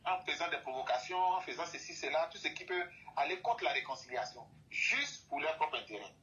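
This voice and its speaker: Emphatic man, bright tone. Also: on the high side, animated, fairly quick, dull audio. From Côte d'Ivoire. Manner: emphatic, repeats and restates phrases. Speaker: guest speaker. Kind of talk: impassioned opinion talk.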